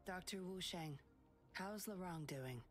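A woman's voice: fairly low pitch, a little slow, soft background music, natural voice. A woman playing a cool, self-assured, persuasive game character with a composed, coaxing manner. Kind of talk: video game voice acting.

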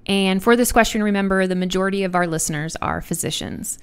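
A woman hosting a podcast, asking direct, brisk interview questions. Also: mid-range voice, smooth, dry recording, clean studio sound. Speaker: podcast host.

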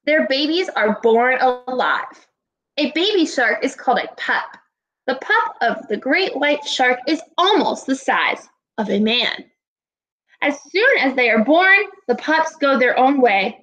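A woman in her twenties, a teacher giving a read-aloud lesson to young children in a deliberate, instructive way. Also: sits high, expressive, fairly bright tone, lo-fi sound. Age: 20s. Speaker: elementary school teacher. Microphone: laptop webcam at arm's length.

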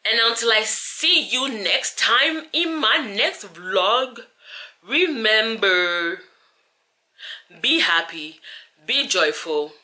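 A woman's voice: on the high side, expressive, extremely slow, sharp tone. A tired young woman talking to her camera from her couch late at night, chatty and rambling as she wraps up her vlog. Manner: chatty, rambling, lots of "like" and "you feel me". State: tired, voice deeper than usual. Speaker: vlogger. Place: living room couch at night. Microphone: camera held at arm's length.

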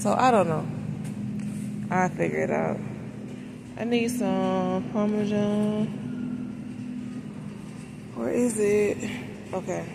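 A woman vlogger talks casually as she walks through a supermarket, filming on a handheld phone. Her voice sits at a middle pitch with a gravelly fry, and she thinks out loud in a folksy, informal way over clear store noise. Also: slow pace, pauses often. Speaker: vlogger narrating a shopping trip. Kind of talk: casual chat to camera. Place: inside a large supermarket, walking. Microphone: handheld phone behind the camera. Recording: noisy store background.

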